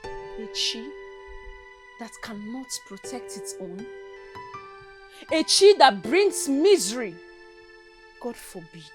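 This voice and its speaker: A woman's voice: a little high, sing-song, slow, long pauses, music in the background. An actress playing a resentful young mother who answers sharply and dismissively. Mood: bitter. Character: bitter defiant young Nigerian mother.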